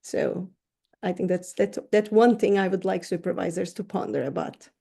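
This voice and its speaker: A woman's voice: lowish voice, normal speed, vocal fry, mellow, husky. A middle-aged woman from Turkey, a counseling professor answering interview questions in a thoughtful way, often restarting words.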